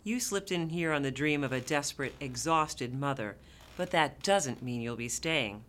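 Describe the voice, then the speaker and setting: A woman's voice: very deep voice, expressive, normal speed, fairly bright tone, slight background noise. A woman acting in a radio play as a stern, disapproving nurse who speaks formally and with authority. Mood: cold, firm. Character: stern, officious, disapproving female neonatal nurse.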